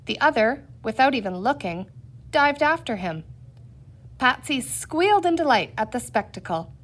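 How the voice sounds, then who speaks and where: High-voiced woman reading a children's story. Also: big pitch swings, normal speed. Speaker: audiobook reader. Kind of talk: expressive scripted children's story narration.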